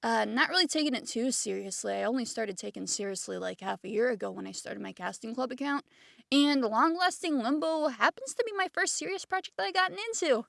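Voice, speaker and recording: A woman in her twenties chats casually in a high voice with noticeable vocal fry. She rambles and pokes fun at herself as she introduces herself, close and dry. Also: animated, fairly quick, a bit bright, dry recording. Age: 20s. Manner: chatty, rambling, self-deprecating. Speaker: amateur voice actor.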